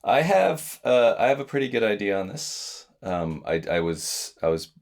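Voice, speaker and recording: A man's voice: lowish voice, big pitch swings, normal speed, creaky. A man playing trivia, joking dryly and hesitating with fillers in a casual chat. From Singapore. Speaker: trivia contestant.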